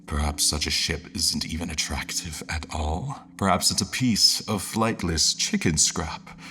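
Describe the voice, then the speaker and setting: A man giving scripted, dramatic story narration in a measured, solemn way, with music under his voice. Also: very deep voice, bright, forceful tone.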